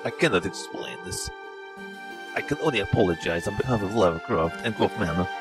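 A man voicing a prim, bewildered male game streamer who stammers in halting broken English. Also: low-pitched, over music, close to an everyday voice. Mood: apologetic. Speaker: gameplay host. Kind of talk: improvised comedy gameplay commentary.

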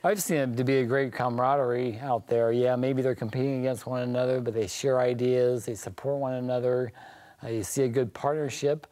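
A man's voice: medium pitch, steady tone, fairly quick, mellow, no room sound. A middle-aged American man explaining things as an expert guest in a studio panel interview. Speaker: agriculture department guest. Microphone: lapel mic.

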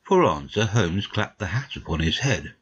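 A man playing a modest, polite gentleman narrator, the detective's puzzled friend, in a dramatic audiobook on a dull recording. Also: sits low, big pitch swings, normal speed, breathy edge, full character voice. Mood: measured, wry. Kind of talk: dramatic audiobook reading, storytelling.

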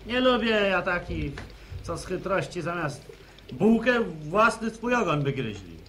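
A man's voice: sits high, big pitch swings, unhurried, a bit bright, a little hiss or hum, exaggerated voice. An actor playing a sardonic young village man in an old radio drama, who needles others in a mocking way. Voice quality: mocking, with chuckles. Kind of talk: 1970s radio drama acting.

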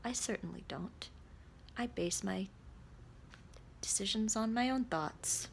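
A young woman with a creaky voice, chatting casually and candidly to camera in a rambling vlog, recorded at arm's length in a small room. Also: mid-range voice, slow, a little hiss or hum. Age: young adult. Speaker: vlogger. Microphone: phone or camera at arm's length.

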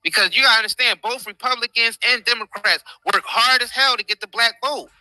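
An American man gives impassioned livestream commentary in a very sharp, forceful voice. He is emphatic and repeats himself in casual slang. Faint music plays behind his close, dry sound. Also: extremely high pitch, brisk, no room sound, low-quality recording. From United States. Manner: emphatic, repetitive, informal slang. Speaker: show host. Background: faint background music.

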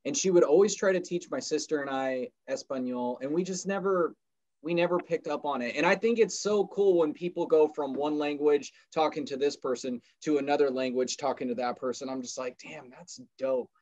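A man's voice: fairly high pitch, brisk, darkish tone, highs cut off. A man co-hosting a podcast, laid-back and slangy, talking enthusiastically. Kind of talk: casual interview conversation.

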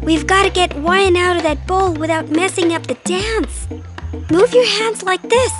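A bold little cartoon girl, extremely high in pitch and measured in pace. She explains things earnestly and emphatically, with music playing behind. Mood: earnest, explaining. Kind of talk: children's cartoon acting. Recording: loud background music.

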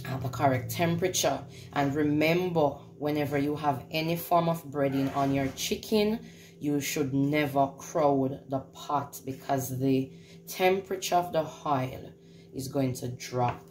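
A woman's voice: a bass voice, reverberant. A woman hosting a casual home cooking demonstration, instructing and repeating phrases for emphasis. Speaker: home cook hosting a recipe video. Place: indoors in a home kitchen. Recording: clearly noisy home recording.

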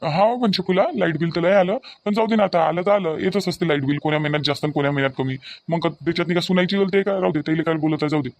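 A man's voice: fairly high pitch, racing, vocal fry. A man voicing an easygoing peacemaking father-in-law in a comedy cartoon, with a Varhadi Marathi accent and repetitive, pleading phrasing. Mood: pleading, mild protest. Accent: Varhadi Marathi (Vidarbha).

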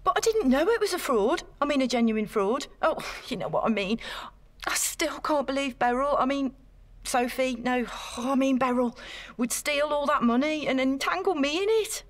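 An actress playing an anxious, self-doubting woman in an audio drama, speaking in a flustered, halting way. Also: high voice, animated, no room sound. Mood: flustered, pleading.

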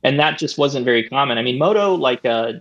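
A man in his thirties, an interview guest, telling old stories in a relaxed, rambling way, on a boom mic at home. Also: brisk, lo-fi sound. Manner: relaxed, rambling with many "I mean" and "right?". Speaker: interview guest, former esports player. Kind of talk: casual storytelling. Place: home room, indoors. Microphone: studio mic on boom arm, headset.

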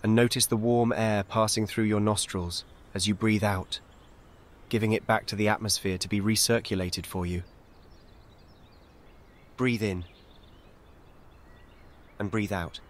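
A man guides a meditation as scripted narration, speaking calmly in measured, soothing, short and gentle instructions. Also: lowish voice.

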